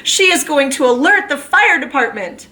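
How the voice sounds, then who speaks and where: A woman's voice: high voice, big pitch swings, moderate pace, bright, forceful tone, pitched above the performer's own voice, exaggerated voice. A woman voicing an anxious, bossy middle-aged husband in a children's storybook, talking in emphatic commands. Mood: hopeful. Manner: emphatic, commanding, trying to sound sure.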